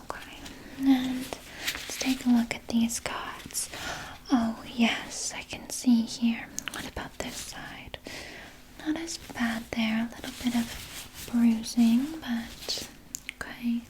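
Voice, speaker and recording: A woman voicing a soothing, sly vampire nurse in a gentle, breathy ASMR whisper, reassuring a patient with small hesitant pauses. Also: on the high side, little pitch movement, rough recording. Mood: gentle, clinical. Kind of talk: ASMR personal attention roleplay.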